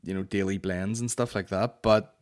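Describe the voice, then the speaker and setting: Male podcast host, 30s, Northern Irish, lowish voice, blunt and sweary, loose comic chat on close mic. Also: steady tone, normal speed. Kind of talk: casual comic chat, answering listener questions. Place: small indoor podcast studio. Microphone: close studio microphone on boom arm.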